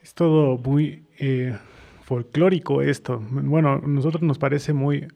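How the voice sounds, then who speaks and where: Creaky-voiced Mexican man hosting radio. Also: mid-range voice, fairly even intonation, a little slow, dull audio. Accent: central Mexican. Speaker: radio host. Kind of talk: live radio interview and announcements.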